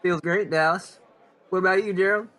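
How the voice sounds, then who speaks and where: A man's voice: high-pitched, fairly even intonation, moderate pace, sharp tone. A man playing an earnest American war veteran in an old-time radio comedy sketch. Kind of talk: 1940s radio acting.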